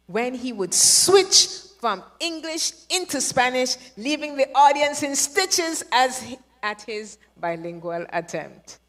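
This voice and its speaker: Woman emcee, high-ish, unhurried, playful, reverberant hall. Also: a bit bright. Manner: playful, chatty, asks the audience questions. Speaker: awards show host. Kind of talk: live awards ceremony hosting. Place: large hall. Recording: echoey hall with long reverb.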